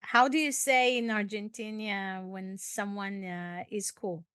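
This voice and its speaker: A woman hosting a casual, conversational language lesson as the teacher, expressive and often prompting and repeating words. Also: mid-range voice, measured pace, smooth, no echo, studio quality. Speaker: English teacher and podcast host.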